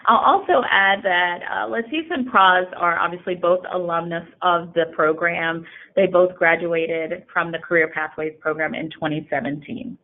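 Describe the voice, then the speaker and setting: A woman's voice: fairly low pitch, fairly even intonation, normal speed. A woman hosting a semi-scripted webinar, introducing and questioning guests as a programme director. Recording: thin phone-like line.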